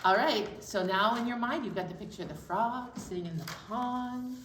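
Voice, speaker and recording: A woman leading a children's storytime as a librarian, speaking in a gentle, slow, encouraging way to young children. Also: fairly high pitch, animated, roomy sound, distant mic, a little hiss or hum. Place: library room.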